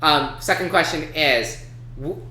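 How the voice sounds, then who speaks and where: A man's voice: on the high side, unhurried, sharp tone. A man co-hosting a talk show in casual chat, thinking aloud with some hesitation. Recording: echoey room.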